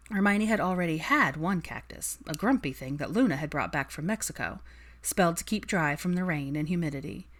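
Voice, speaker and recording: Woman reading fanfic out loud, lowish voice, scripted audiobook narration. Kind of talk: scripted fan fiction narration.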